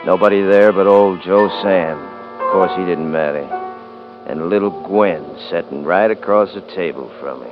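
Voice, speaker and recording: An actor playing a cocky, bullying young American rancher in a 1950s radio drama, with rustic, taunting talk. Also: male voice, deep voice, normal speed, dull audio, over music, lightly acted. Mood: brooding, scheming. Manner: rustic drawling grammar, swaggering, taunting. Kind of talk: 1950s radio drama acting and first-person narration. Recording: noisy old 1950s radio recording.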